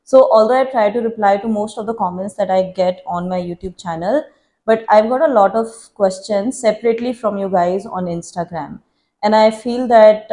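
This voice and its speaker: A woman's voice: mid-range voice, warm tone. A woman in her thirties, a native Hindi speaker, answering viewer questions in an earnest, reflective casual vlog. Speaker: vlogger.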